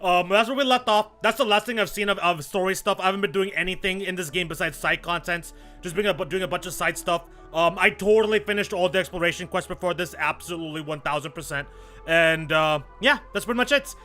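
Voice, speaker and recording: A man with a high, bright, forceful voice hosts a casual live game stream. His talk is slangy and repetitive, hopping between greeting viewers and commenting on the game. Light music plays along. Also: brisk. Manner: slangy, repeats himself, greets viewers mid-thought.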